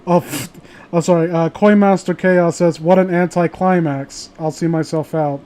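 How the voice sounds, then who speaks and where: A man's voice: on the high side, steady tone, normal speed, darkish tone. A man hosting a casual discussion of horror stories, rambling, joking and swearing freely. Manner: rambling, foul-mouthed, joking. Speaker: host of a horror story reading show. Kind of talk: casual chat and commentary on stories. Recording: noisy home recording, clear background hiss.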